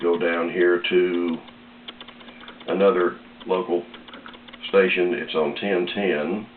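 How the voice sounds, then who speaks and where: An American man with a fairly low voice talks through a demonstration in an unhurried, plain-spoken way. The room is very echoey and the audio is a little dull. He explains things casually, with pauses between steps. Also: level tone, slight background noise. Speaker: radio seller demonstrating a set.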